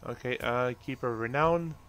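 A man's voice: medium pitch, normal speed, a bit bright, a little hiss or hum. A man doing casual, offhand gameplay commentary, half talking to himself as he plays. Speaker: gamer host narrating own play.

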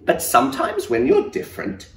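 A man voicing a kindly, wise male cricket in a soft, coaxing, high voice for a storybook reading. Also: huge pitch swings, normal speed, vocal fry, a little hiss or hum, exaggerated voice. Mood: gentle. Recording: roomy echo, distant mic.

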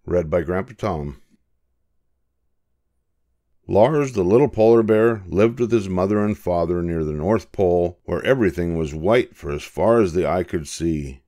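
An older man narrating a children's picture book in a measured, expressive storytelling manner. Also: low voice. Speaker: storybook reader.

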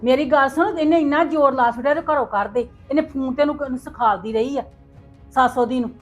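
A reproachful middle-aged mother, played by a woman, lectures her daughter about shame and family honour. Her voice is high. Soft music plays behind her. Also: fast, muffled recording. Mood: scolding. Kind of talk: Punjabi family drama.

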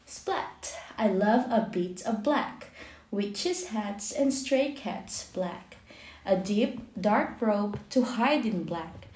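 Slow, echoey woman reading a storybook. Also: medium pitch, animated, a little mellow. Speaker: children's book reader, channel host. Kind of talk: storybook read-aloud for young children.

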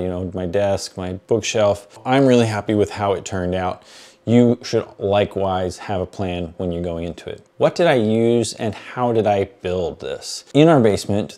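A man's voice: lowish voice, mellow. A man in his thirties talking casually to camera, explaining things in an informal, matter-of-fact vlog style. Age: 30s. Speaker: YouTube creator, host. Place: small furnished home basement studio, indoors. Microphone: clip-on lapel mic.

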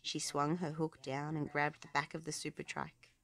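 A woman narrating a children's storybook aloud in an expressive way, paced for young listeners. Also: low-pitched, normal speed, gravelly.

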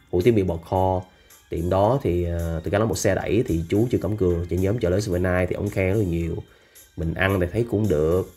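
A deep-voiced man talks casually, full of fillers and running lists of places. He sounds like a travel vlogger narrating from a motorbike on a night street, with faint music playing behind him. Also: warm tone. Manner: chatty, hesitant with frequent fillers, lists places. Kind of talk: casual narration while riding through city streets. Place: riding a motorbike on a busy city street at night.

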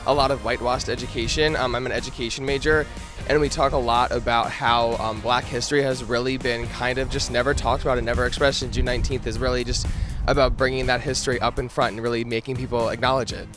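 A man in his twenties, an education student, talks fast and articulately. He answers into a handheld mic on the street. A music bed plays under him. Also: medium pitch, flat delivery. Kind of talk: street interview. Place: city street outdoors.

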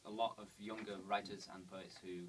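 Low-voiced male interviewer, distant and hissy. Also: flat delivery, moderate pace. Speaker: interviewer and host. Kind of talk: informal spoken introduction and questions. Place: indoors in a bookshop, seated. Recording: distant, hissy camera recording.

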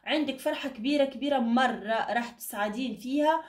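A woman's voice: sits high, fairly quick. A woman gives an informal card reading as a channel host, reassuring her listeners with endearments and blessings in a slightly tired voice. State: tired, strained voice. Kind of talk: informal fortune-telling reading to camera. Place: indoor room at a table. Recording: echoey room, distant microphone.

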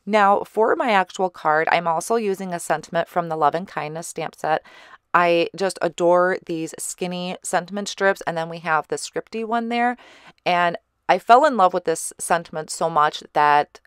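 A woman giving a chatty voice-over for a card-making tutorial, her voice slightly hoarse. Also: lowish voice, moderate pace, no echo, studio quality. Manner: relaxed, chatty explaining with small fillers. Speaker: card-making channel host. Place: recorded separately as voice-over.

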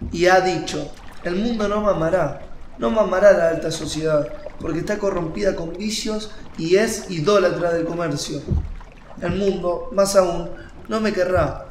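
A man's voice: high voice, lightly acted. An actor playing a young, devout fisherman in a radio drama, earnest and insistent. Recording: roomy, faint noise, water ambience.

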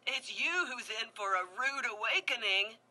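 A cocky, speedy male tiki rattles off boasts and taunts, as voiced by a woman. The voice is very high and bright, and it jumps around a lot. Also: expressive, exaggerated voice. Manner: fast, taunting.

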